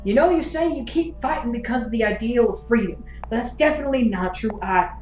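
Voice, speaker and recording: A woman's voice: on the high side, fast, a little mellow, soft background music, natural voice. Woman acting a flippant, needling cynic, muffled and roomy. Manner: flippant, teasing, prodding. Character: bored, needling, cynical hired war commentator. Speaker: actor in an audio drama. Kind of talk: audio drama acting.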